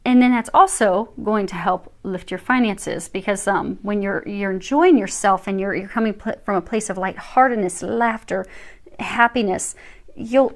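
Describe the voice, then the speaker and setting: A woman tarot reader giving an informal, reassuring card reading, speaking haltingly and repeating her phrases as she offers spiritual advice. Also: mid-range voice.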